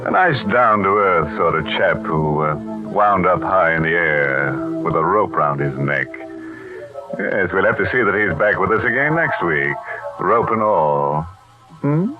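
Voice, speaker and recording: A male horror host from old-time radio with a low, unhurried voice. He is sardonic and mock-genial, savoring macabre puns. The tinny vintage recording has music clearly behind it. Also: animated. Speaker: host of a radio mystery show. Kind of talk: 1940s radio horror hosting, scripted.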